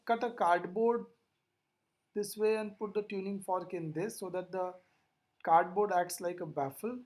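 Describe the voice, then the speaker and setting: Physics lecturer, explanatory teaching style, didactic, mid-range pitch, repeats and rephrases key points. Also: darkish tone.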